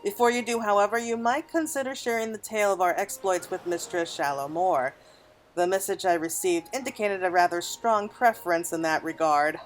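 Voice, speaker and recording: A formal, courteous lady in a lord's service, voiced by a woman reading aloud. Her fantasy game speech is archaic and measured, with music underneath. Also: mid-range voice, lightly acted. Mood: polite, advising.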